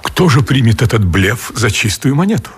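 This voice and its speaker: An actor playing a cautious, cynical army colonel in a radio play, with a very husky voice and a guarded, wry manner. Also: male voice, mid-range voice, very animated, moderate pace. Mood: wry, resigned.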